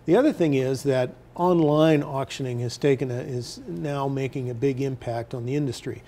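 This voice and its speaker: Older male interview guest, casual and explanatory, soft-edged tone with creaky fry. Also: medium pitch, moderate pace. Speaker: newspaper editor as interview guest. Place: TV news studio, indoors at a desk.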